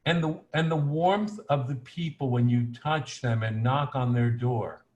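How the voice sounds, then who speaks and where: Male candidate, early 60s. Medium pitch, conversational and anecdotal, often rewording himself mid-sentence. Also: measured pace, a little mellow. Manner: rambling, self-correcting, conversational. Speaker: political candidate, former bond salesman. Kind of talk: anecdotal unscripted panel talk.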